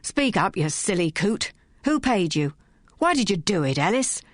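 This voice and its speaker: Woman voicing a gruff, scornful police inspector. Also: mid-range voice, sing-song, normal speed, dry recording, clean studio sound, pitched above the performer's own voice, lightly acted. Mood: angry. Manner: barking, scornful questions. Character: gruff male police detective inspector, short-tempered.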